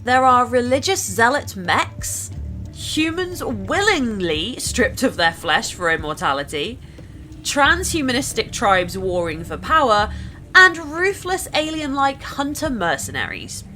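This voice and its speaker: A woman's voice: fairly high pitch, very animated, measured pace, sharp tone. A woman narrating a gameplay video in an upbeat, chatty and enthusiastic way. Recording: voice-over with game music behind. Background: music.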